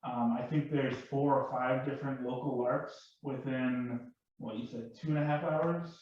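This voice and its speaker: A man's voice: mid-range voice, steady tone, moderate pace, darkish tone. Guy chatting on a panel, keeps restarting words, really roomy far off mic w some hiss. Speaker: panel guest and larper. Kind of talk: casual panel discussion. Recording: very echoey, distant, dull, noisy microphone.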